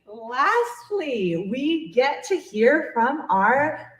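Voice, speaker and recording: Woman emcee, high lively voice, unhurried, informal banter, echoey room with audience. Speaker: event emcee. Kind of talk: live hosting, informal banter. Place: library event room with audience.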